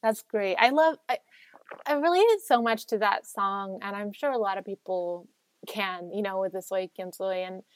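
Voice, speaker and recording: A woman's voice: mid-range voice, moderate pace, dry recording. A woman raised in Spain, hosting a casual interview, speaking hesitantly with many ums and affirming her guest. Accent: mild American accent in Spanish; speaks American English.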